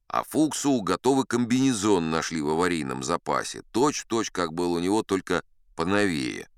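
Male actor as an old braggart sea captain, smooth deep voice, dry close studio sound, chatty tall-tale teller. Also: dry recording. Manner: chatty, confiding asides to the listener. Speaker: audiobook narrator. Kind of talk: first-person storytelling in an audio play.

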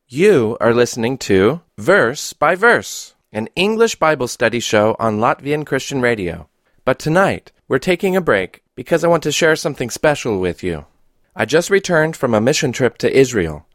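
An American man working as a radio host and interviewer, speaking clearly and deliberately. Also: mid-range voice, sing-song, dry recording, clean studio sound. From United States. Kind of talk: radio intro and street interview.

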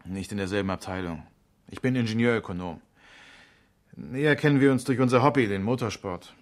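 Male actor for defensive interviewee in 1970s radio drama, lowish register, hesitant, guarded, wry. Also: fairly quick, lightly acted. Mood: uneasy, reluctant. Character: defensive, uneasy male engineer questioned by police. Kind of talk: 1970s German radio crime drama acting.

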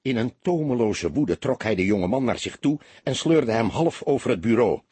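A man's voice: medium pitch, animated, normal speed, darkish tone, husky, dry recording. A man reading a detective novel as a dramatic audiobook narrator.

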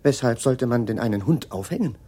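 Agitated inventor, radio drama, husky, intense, very changeable pitch, eccentric. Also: male voice, animated. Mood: grim, mournful. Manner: slow, intense, swinging from cries to hushed brooding. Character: agitated, eccentric male scientist, an inventor haunted by war. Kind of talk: dramatic radio play acting.

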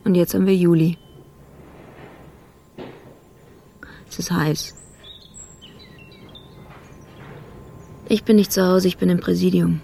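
An actress playing a sombre, watchful police officer in a radio drama monologue, measured and pensive, in fragmentary phrases. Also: female voice, lowish voice, fairly even intonation, gravelly, warm tone. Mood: subdued, uneasy. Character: sombre, watchful police colleague reflecting on a detective.

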